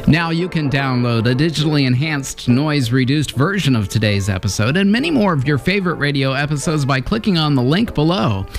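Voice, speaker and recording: A man's voice: on the high side, lively intonation, a little fast, hardly any pauses. A man hosting a vintage radio showcase, reading scripted narration. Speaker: host introducing vintage radio episodes. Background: music.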